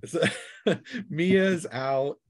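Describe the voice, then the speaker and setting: A man's voice: on the high side, drawn-out words, no room sound, studio quality. A man acting as game master, narrating a tabletop game in a playful, colloquial way. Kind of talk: casual tabletop game narration with acted sound effects.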